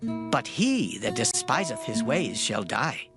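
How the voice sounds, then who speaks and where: A man reads scripture as a dramatic performance, solemn and declamatory. His intonation rises and falls expressively, and plucked string music plays clearly behind him. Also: medium pitch, big pitch swings, takes it slowly. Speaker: scripture reader. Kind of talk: dramatized Bible reading.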